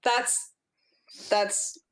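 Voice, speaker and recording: A woman's voice: extremely slow, fairly bright tone. A woman whose first language is Spanish, a podcast guest giving a personal testimonial, earnest and often searching for words as she repeats herself. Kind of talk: personal testimonial in casual conversation.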